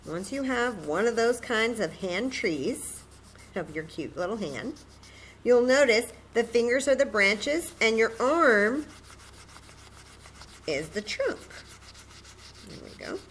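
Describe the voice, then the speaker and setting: A woman's voice: fairly high pitch, big pitch swings, slow pace, long pauses, slight background noise, rough recording. A woman hosting a children's story time, patiently and encouragingly demonstrating a craft step by step in simple, casual words.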